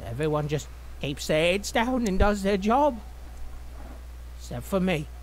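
A defiant loner from a video game. The voice sits high and swings around in pitch as it grumbles. There is faint noise behind it. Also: male voice, sing-song, measured pace. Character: defiant lone outsider resenting a rotten queen. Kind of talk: video game character dialogue.

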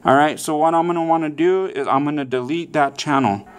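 A man's voice: on the high side, fairly quick, a little hiss or hum. A man presenting a casual step-by-step how-to, often checking in with okay and all right. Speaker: tutorial presenter.

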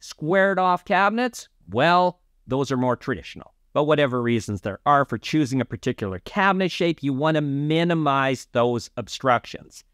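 A man in his fifties presenting an explainer to camera, speaking in deliberate, emphatic phrases. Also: mid-range voice, lively intonation, a bit bright, smooth, no echo, studio quality. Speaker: presenter of an audio explainer series. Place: small indoor studio.

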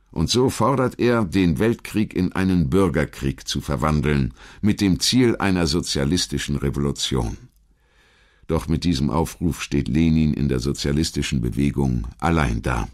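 Ein Mann, der als Erzähler einen vorbereiteten historischen Text vorträgt. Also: male voice, deep voice. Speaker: documentary narrator. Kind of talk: scripted historical narration.

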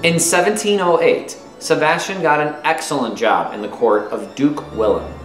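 A man in his thirties reads a children's nonfiction book aloud like a teacher, phrasing it expressively and clearly for young listeners. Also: a little high, moderate pace. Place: small room at home, indoors. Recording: roomy echo with music behind. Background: music.

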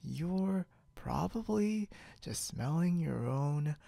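A man's voice: fairly high pitch, expressive, creaky, warm tone, no room sound, heavily acted. A man playing a tired, playful drunk young man, rambling and giggly in an intimate ASMR roleplay, close to the mic. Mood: babbling denial. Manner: rambling, slurred-slow, giggly, whispery at times. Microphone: close microphone, dry.

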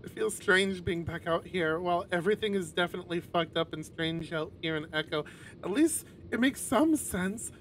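A man's voice: high voice, normal speed, fairly bright tone, a little hiss or hum. A man streaming a visual novel, reading the narration aloud and dropping in casual, sweary asides. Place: home streaming setup, livestream.

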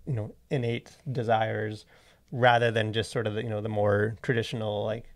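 Guy in his 30s chatting on a podcast, gravelly fry in his voice, keeps rephrasing as he thinks out loud. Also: on the low side, flat delivery. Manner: halting, wordy, thinking aloud with frequent rephrasing. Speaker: podcast co-host. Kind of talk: casual podcast discussion. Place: small indoor podcast studio. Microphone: close boom-arm studio microphone.

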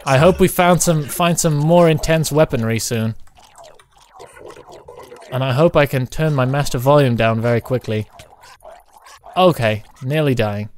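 A man's voice: medium pitch, measured pace, pauses often, a little hiss or hum. Casual man playing a video game. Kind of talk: casual gaming commentary with a co-player. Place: indoor voice-over close on mic during gameplay.

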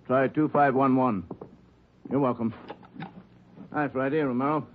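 An actor playing a male desk officer handling calls in a brisk, routine way in a 1940s radio drama. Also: medium pitch, steady tone, natural voice. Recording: phone-like old broadcast with hum.

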